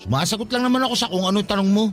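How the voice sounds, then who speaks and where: A man's voice: sits high, expressive, very fast, faint music behind. A man playing a short, insecure, lovestruck young worker in a Tagalog radio romance, sulky and guarded in his talk. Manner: sulky, guarded, self-pitying.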